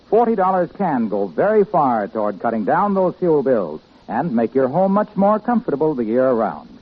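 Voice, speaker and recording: A male announcer delivers sponsor copy in classic 1950s radio style. His husky, slightly high voice is polished and salesmanlike, with very animated intonation. The broadcast recording is muffled.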